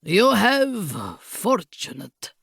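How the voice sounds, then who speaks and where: A woman's voice: medium pitch, big pitch swings, vocal fry, a bit bright, breathy edge, no echo, clean studio sound, full character voice. A woman voicing a gloating, villainous count with a thick Eastern European accent, snarling ungrammatical threats in an audiobook. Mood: menacing. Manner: slow, sneering, snarling.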